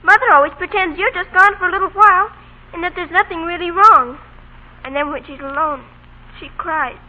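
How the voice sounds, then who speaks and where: A woman's voice: very high-pitched, fairly quick. A young female performer playing a spunky, devoted little girl in a vintage radio drama. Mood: tender, wistful. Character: spunky, defiant little Southern girl, devoted to her father. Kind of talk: 1930s-40s radio drama acting. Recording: tinny, band-limited old radio broadcast.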